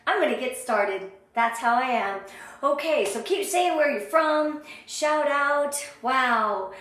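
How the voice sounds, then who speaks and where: A middle-aged American woman speaking with gushing, high energy, greeting viewers on a live online motivational training. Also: expressive, takes it slowly, fairly bright tone. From Southern California, USA. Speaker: sales director leading a motivational training. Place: indoor room, live stream with ring light. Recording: very echoey, roomy sound.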